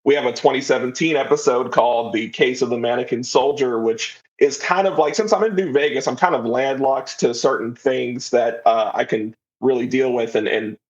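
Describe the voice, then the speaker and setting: A man's voice: medium pitch, moderate pace, few pauses. Man, mid-range voice, chatty podcast guest, casual storytelling, slightly dull recording. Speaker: interview guest, writer and audio drama creator.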